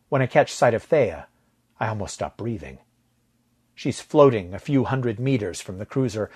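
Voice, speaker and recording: A man with a husky voice narrating a story in a dramatic, deliberate way, letting phrase endings drop to a hush. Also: medium pitch. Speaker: audio fiction narrator.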